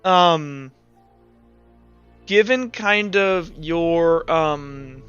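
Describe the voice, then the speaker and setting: A man narrating a tabletop game as game master, improvising in a casual, halting way. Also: fairly high pitch, a bit bright, clear, resonant tone, dry recording, muffled recording, soft background music.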